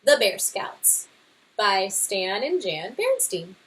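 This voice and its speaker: A woman narrating a children's read-aloud, talking directly to young listeners with expressive questions and pauses. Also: medium pitch, rough recording.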